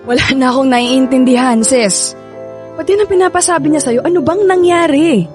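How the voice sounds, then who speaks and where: A very high-voiced young pregnant Filipino woman played by an actress in a radio drama. She is a betrayed partner, emotional and insistent, repeating her words as she pleads. Music plays clearly behind her. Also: moderate pace, natural voice. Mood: shocked, angry. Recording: dramatic music bed under the voice.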